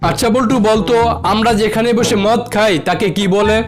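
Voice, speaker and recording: Woman as a blunt, fast-talking cartoon quizmaster. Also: on the high side, steady tone, natural voice. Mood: questioning. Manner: blunt, questioning. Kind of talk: scripted cartoon joke skits. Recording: music behind the voice.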